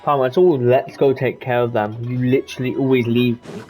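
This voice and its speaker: A man's voice: medium pitch, fairly even intonation, a little fast, dull audio. A man giving casual gameplay commentary, reacting to the game and reading its dialogue aloud. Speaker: gamer playing and reacting. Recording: game music behind voice.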